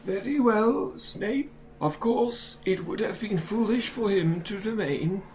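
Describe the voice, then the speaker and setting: A woman playing a calm, dignified old male wizard headmaster in an audio drama, measured and formal. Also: mid-range voice, slight background noise, natural voice. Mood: calm, firm.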